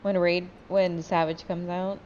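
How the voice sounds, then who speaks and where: A woman's voice: on the low side, little pitch movement, measured pace, darkish tone, highs cut off, a little hiss or hum. A woman chatting casually and bluntly, with some swearing, while playing an online game. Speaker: gaming video host.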